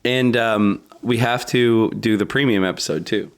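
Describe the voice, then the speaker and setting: A man's voice: lowish voice, normal speed, clean tone. A man co-hosting a casual podcast over a remote call, laughing often, swearing freely and rambling. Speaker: podcast co-host.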